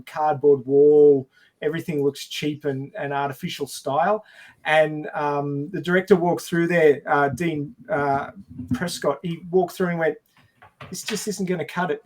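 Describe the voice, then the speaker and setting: A man's voice: a little high, darkish tone. A man in a casual film discussion, telling behind-the-scenes anecdotes and acting out quotes as he goes. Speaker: podcast panelist.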